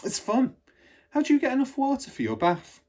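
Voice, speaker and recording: Small inquisitive girl for a storybook, polite, eager, raised pitch, performed by a male reader. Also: wildly swooping pitch, a little fast, a little mellow, full character voice. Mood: curious. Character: curious, eager little girl, polite and bright-minded. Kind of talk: children's storybook reading.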